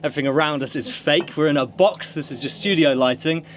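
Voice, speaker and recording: A man's voice: a little high, fast, a little hiss or hum. A man hosting a casual podcast with playful, mock-grand announcer patter. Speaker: podcast host.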